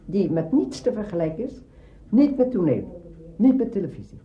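A woman's voice: mid-range voice, big pitch swings, normal speed. A woman giving short, emphatic answers in an interview. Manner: terse, emphatic. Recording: muffled, roomy archival recording.

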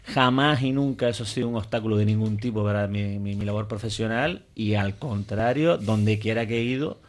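A man's voice: fairly low pitch, fairly quick. A man with a Canarian accent, an English teacher on a discussion panel, making his points firmly and emphatically, often repeating words for stress.

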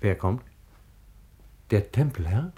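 An actor playing a magnanimous, fatherly sultan with a regal, measured delivery in a 1950s German radio play. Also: fairly low pitch, expressive, mellow, a little hiss or hum. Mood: gracious.

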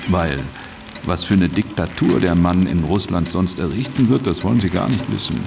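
An actor playing a glib, eager political fixer in a German radio drama, breezy, colloquial and assured. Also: male voice, deep voice, normal speed, vocal fry, over music.

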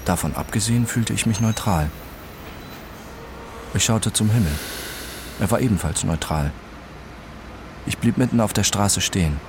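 An actor playing a detached accident survivor who narrates his own story deliberately and precisely in a radio drama. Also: on the low side, background noise, close to an everyday voice. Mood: brooding, neutral. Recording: music under the voice.

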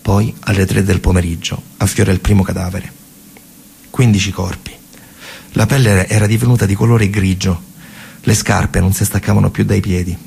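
Gravelly, low-voiced Roman man telling a story. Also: steady tone, a little mellow, a little hiss or hum. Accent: central Italian, Roman. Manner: colloquial, emphatic, with pauses and repetitions. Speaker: stage actor and narrator. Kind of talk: dramatic theatrical storytelling monologue.

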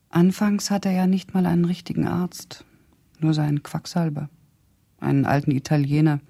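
An actress playing a blunt, sardonic older woman with a husky, gravelly voice, indignantly loyal to an old friend, recounting memories in a German radio drama. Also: low-pitched, dark tone, full character voice. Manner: blunt, sardonic, deliberate storytelling.

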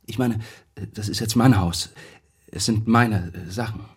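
Breathy, nervous, evasive homeowner. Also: male voice, on the low side, a little slow. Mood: nervous, hesitant. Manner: hesitant, flustered. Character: nervous, evasive male homeowner hiding his guilt. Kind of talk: radio play acting.

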